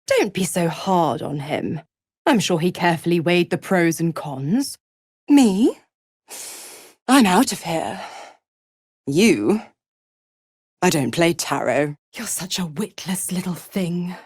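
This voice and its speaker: A woman's voice: lowish voice, no echo, clean studio sound. An actress voicing a seductive, cruel and smug female devil in a video game, with a teasing, condescending, theatrical drawl. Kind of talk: video game character voice acting.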